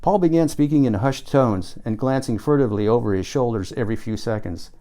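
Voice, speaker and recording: A man's voice: medium pitch, a little fast, mellow. A man reading his own novel aloud as scripted audiobook narration.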